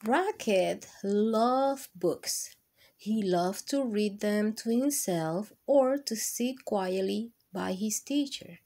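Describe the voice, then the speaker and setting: A woman hosts storytime for children, reading a picture book aloud in a mid-pitched voice. She takes it very slowly and expressively, turning to her young listeners with questions. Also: mellow.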